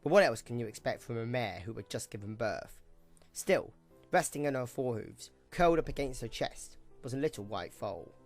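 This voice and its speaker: A man narrating a scripted audio drama in an expressive storytelling manner. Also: mid-range voice, normal speed, soft background music.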